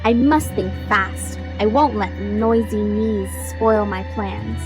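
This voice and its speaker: A woman's voice: mid-range voice, takes it slowly, over music, voice pushed down, natural voice. A woman voicing a cranky, scheming old witch from a children's storybook, commanding and theatrical. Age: older. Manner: commanding, theatrical, chanting spells.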